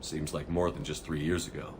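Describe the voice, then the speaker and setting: A battle-worn male commander in a sci-fi game. His voice sits very low and moves fast, every line clipped and businesslike. A faint hum of ambience runs underneath. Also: flat delivery. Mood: steady. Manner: clipped, matter-of-fact orders. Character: male human soldier, calm hardened commander. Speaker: military commander. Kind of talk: video game cutscene acting.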